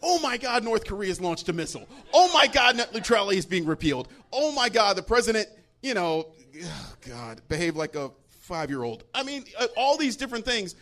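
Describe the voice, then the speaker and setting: A man's voice: high voice, fairly bright tone. An American man telling a humorous story as a guest on a live panel.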